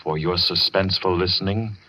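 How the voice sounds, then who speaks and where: A man announcing and narrating in 1940s radio style, theatrical, deliberate and portentous. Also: sits low, fairly even intonation, a little hiss or hum. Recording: muffled vintage radio broadcast. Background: loud dramatic music.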